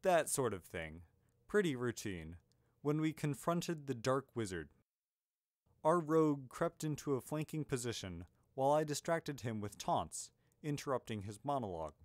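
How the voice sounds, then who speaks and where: A man voicing a young adventuring wizard in a fantasy audiobook, earnest, excitable and blithely matter-of-fact. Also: medium pitch, moderate pace, dry recording, clean studio sound, close to an everyday voice. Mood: blithe storytelling.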